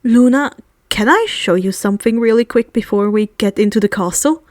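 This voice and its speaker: A woman's voice: a little high, moderate pace, a little mellow, no echo, studio quality, natural voice. A woman reading the part of an earnest, playful teenage wizard boy who talks eagerly and stammers a little.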